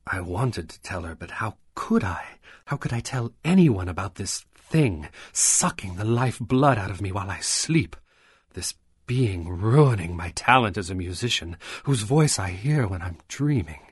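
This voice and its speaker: An actor playing a haunted, fearful concert pianist in an old-time radio horror drama, anguished and melodramatic. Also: male voice, lowish voice, lively intonation, unhurried, breathy edge, no echo. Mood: haunted, brooding. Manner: anguished, melodramatic, swinging from hushed to outbursts.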